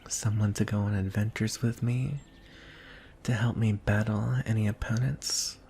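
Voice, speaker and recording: Lonely, courtly dragon suitor acted by a man, fantasy ASMR; hushed, lowish creaky voice over faint noise. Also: level tone. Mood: wistful, longing. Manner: soft, hushed, breathy delivery with deliberate pauses. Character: lonely, courtly male dragon wooing a prince.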